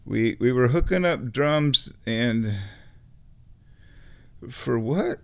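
A man giving a casual, unscripted music gear demonstration, thinking aloud in a rambling, halting way. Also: medium pitch, expressive, gravelly, smooth.

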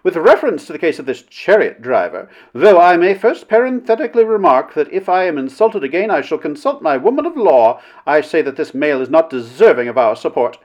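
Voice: medium pitch, sing-song, a little fast, few pauses, darkish tone, lo-fi sound, voice pushed up, lightly acted. A narrator voicing a self-important, quarrelsome woman in a story, declaiming with haughty, melodramatic indignation. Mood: pompous indignation.

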